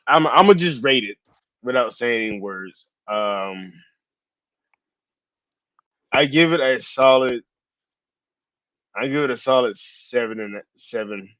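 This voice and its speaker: A man chatting as a podcast guest, laid-back and repeating himself, with a hoarse edge. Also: medium pitch, expressive, a little slow, long pauses, fairly bright tone, dry recording. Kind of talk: casual chat. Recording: dry, dull lo-fi sound.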